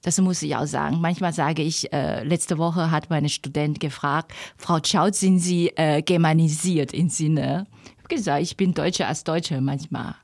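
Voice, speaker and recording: A woman's voice: deep voice, steady tone, unhurried, vocal fry, a little mellow, dry recording. A middle-aged woman from Taiwan, a native Chinese speaker with a Chinese accent, answering interview questions in non-native grammar with hesitant, searching phrasing. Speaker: interviewee, language professor. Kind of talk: reflective interview answers in conversational speech.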